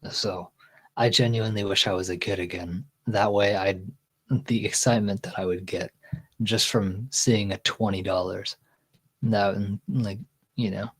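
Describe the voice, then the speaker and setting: A man's voice: lowish voice, steady tone, a little slow, slightly rough. A man with a Texan accent hosting a casual livestream, reading chat comments aloud and answering them in a rambling way with restarts and a chest voice. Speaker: livestream host.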